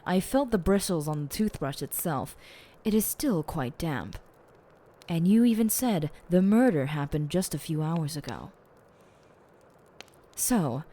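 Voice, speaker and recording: A woman plays a self-satisfied female detective in an audio roleplay. She speaks in a slightly low voice at a very leisurely pace, savoring each pompous phrase and giving bossy, teasing orders with theatrical relish. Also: mellow.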